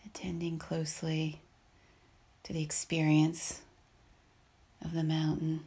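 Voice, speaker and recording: A woman guides a meditation in a low, gravelly voice. She speaks softly and breathily, with a husky edge. Her phrases come very slowly and calmly, spaced out by long pauses. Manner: calm, unhurried, measured with long pauses.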